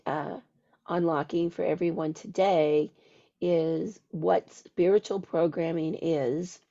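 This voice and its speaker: A woman hosts a podcast, her voice squeaky from a cold. She speaks slowly in a dark, gravelly tone and reads a ritual invocation in a deliberate chant. The sound is dull and lo-fi. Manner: slow, deliberate, chanting readings. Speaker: podcast host. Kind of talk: casual podcast chat and ritual invocation reading.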